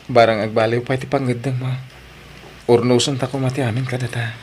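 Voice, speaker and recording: A man's voice: mid-range voice, little pitch movement, a little fast, husky, close to an everyday voice. A man acting an earnest, dutiful young husband and student in an audio drama, with a pleading way of talking. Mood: hurt, pleading.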